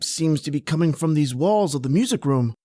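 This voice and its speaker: A woman's voice: sits low, lively intonation, fairly quick, mellow, no room sound, studio quality. A woman playing an earnest, protective husband in an old-time radio horror drama. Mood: puzzled, intent. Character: protective, soothing young husband in horror tale.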